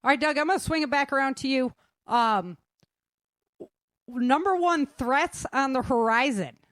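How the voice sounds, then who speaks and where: A woman's voice: sits high, normal speed, fairly bright tone, dry recording, clean studio sound. A woman hosting a casual panel discussion. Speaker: host.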